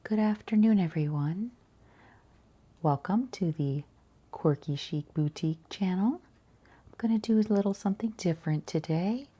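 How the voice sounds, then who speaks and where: A woman talking quietly in an ASMR shop roleplay, gentle and chatty, with a soft, breathy voice that often drops toward a whisper. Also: lowish voice, moderate pace. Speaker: ASMR roleplayer as boutique shopkeeper and channel host.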